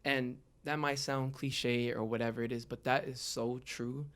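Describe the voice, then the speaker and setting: A man's voice: mid-range voice, little pitch movement, moderate pace, fairly bright tone, smooth. Earnest young man, reflective. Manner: earnest, reflective, frequent "you know". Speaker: guest, rapper. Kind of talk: casual podcast interview, personal reflection.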